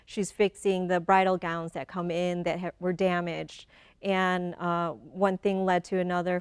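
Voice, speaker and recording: A woman in her forties from Michigan talks through her story with a smooth, clear voice. She often repeats a word as she finds her thoughts and breaks into easy laughter. The sound is close and dry, with no room behind it. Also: on the low side, dry recording. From Michigan, USA. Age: 40s. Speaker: guest. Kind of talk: conversational interview.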